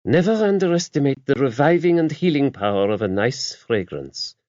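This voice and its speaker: A man's voice: medium pitch, lively intonation, normal speed, a little mellow, no echo, highs cut off, pitched above the performer's own voice, natural voice. A man voicing a regal, imperious older French abbess in an audiobook, with a French accent and a stately, formal, emphatic way of talking. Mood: gracious.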